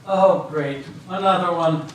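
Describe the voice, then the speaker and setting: A man's voice: fairly high pitch, a little slow, natural voice. A man on stage playing a curt, dismissive and unhelpful border officer in an amateur readers theater. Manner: dismissive, dry. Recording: echoey hall from far off, faint noise.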